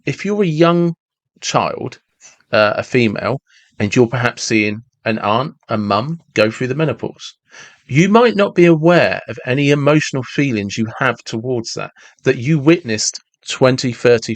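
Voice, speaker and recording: A man's voice: mid-range voice, animated, normal speed, no echo. A man in his forties talking reflectively and casually as a podcast host, often repeating and rephrasing himself as he goes. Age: 40s.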